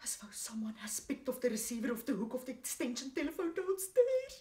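An actress playing an anxious, melodramatic housewife in a stage comedy. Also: female voice, on the high side, big pitch swings, a little fast. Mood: terrified. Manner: breathless, insistent, melodramatic. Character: anxious wheelchair-bound housewife reporting an overheard murder. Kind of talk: theatrical cabaret comedy acting. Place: theatre stage. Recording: echoey stage, distant mic, loud music.

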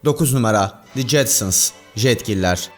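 A man's voice: fairly low pitch, lively intonation, moderate pace. A man hosting and narrating a scripted countdown video. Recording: music bed under voice. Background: background music.

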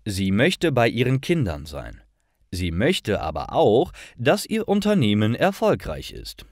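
Deep-voiced man reading a story slowly, lively intonation. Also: dry recording, clean studio sound. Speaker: narrator of stories for German learners. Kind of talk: scripted slow storytelling narration for language learners.

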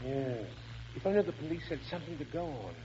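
An actor playing a mild middle-aged husband, gentle and placating, in 1940s radio style on an old recording. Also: male voice, a little high, expressive, quick pace, lo-fi sound, a little hiss or hum, natural voice. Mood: musing.

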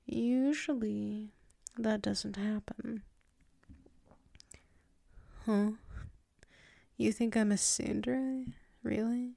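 A woman playing a flustered, submissive tsundere werewolf in an intimate ASMR roleplay, halting and murmuring, often slipping into whispers close to the mic. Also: fairly high pitch, fairly even intonation, creaky, mellow, dry recording, full character voice. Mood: hesitant, intimate. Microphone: close microphone.

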